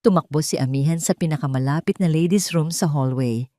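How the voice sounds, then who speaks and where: A woman narrating a dramatic story in a scripted storytelling style, slowing down and lifting her voice for tense moments. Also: low voice, warm tone, dry recording, clean studio sound.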